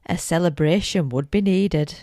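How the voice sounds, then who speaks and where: A woman's voice: fairly low pitch, moderate pace, dark tone, no echo, studio quality. A middle-aged woman narrating a scripted bedtime story as a podcast co-host.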